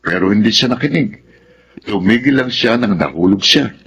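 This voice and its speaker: A man acting a patient, resigned middle-aged father in a Filipino radio drama, speaking in a measured, philosophical manner. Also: fairly low pitch, animated, fairly bright tone, breathy edge, highs cut off, heavily acted. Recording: noticeable background noise.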